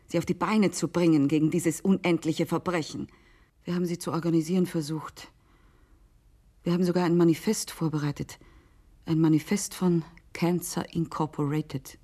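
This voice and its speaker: An actress playing a bitter, despairing woman activist in a radio drama, with a husky, breathy voice and fragmented, incantatory phrasing. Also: low-pitched, dark tone.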